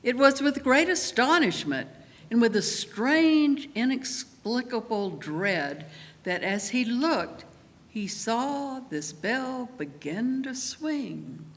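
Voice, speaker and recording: A woman reading a scripted story aloud as narrator, expressive and dramatic. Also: on the high side, slow pace. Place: large church, live performance. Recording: reverberant hall, faint background noise.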